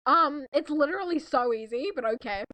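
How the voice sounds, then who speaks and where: A woman's voice: high-pitched, animated, a little fast, pushed and bright, no echo. A woman reading a story aloud in a mock-dramatic way, giggly and breaking off to react in casual disbelief. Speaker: co-reader in a fanfiction reading video.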